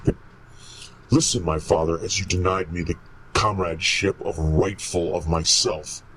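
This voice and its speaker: A man playing a menacing, vengeful monster in a horror radio play, speaking huskily with slow, ominous deliberation. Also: low voice, fairly even intonation, a bit bright, slight background noise, low-quality recording. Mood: bitter, sorrowful. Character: menacing male monster, vengeful creation tormenting its maker. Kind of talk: amateur radio-play style horror acting.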